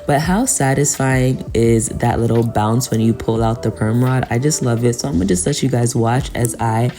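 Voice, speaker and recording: A woman's voice: extremely low pitch, moderate pace, mellow, faint music behind. A woman giving a casual, chatty tutorial voice-over, speaking to viewers in an encouraging way. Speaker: hair tutorial vlogger.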